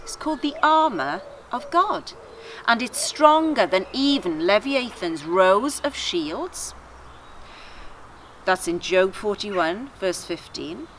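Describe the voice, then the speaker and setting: A woman reading a devotional aloud to children in an expressive storytelling style, with deliberate, emphatic phrasing. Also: mid-range voice, a little hiss or hum. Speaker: children's church story reader.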